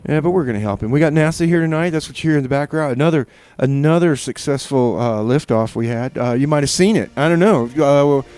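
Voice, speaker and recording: A man hosting a community radio show, chatting loosely and haltingly between songs from a studio mic. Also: fairly high pitch, darkish tone, clean tone. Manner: halting, full of uh and repeated starts. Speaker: radio DJ and host. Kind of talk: rambling casual radio chat.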